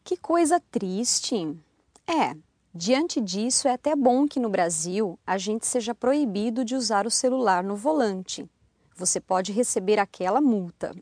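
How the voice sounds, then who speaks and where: A woman co-hosts a language lesson podcast and reads her dialogue parts with plenty of life. Her pitch moves a lot. The sound is dry and close to the mic. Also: expressive, normal speed, darkish tone, no room sound. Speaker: co-host reading dialogues.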